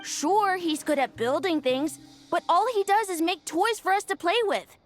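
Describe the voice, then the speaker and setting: Young child game character, very high pitch, childlike, loyal, fiery, music behind. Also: female voice, moderate pace. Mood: upset, defiant. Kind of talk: video game character acting.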